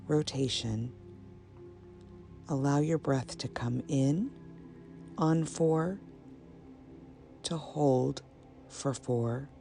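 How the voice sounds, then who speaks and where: A woman guiding a meditation in a soothing, measured manner, pausing between short phrases. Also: low voice, gravelly. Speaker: meditation guide. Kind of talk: guided meditation, slow and soothing. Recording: soft binaural music bed. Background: ambient binaural meditation music.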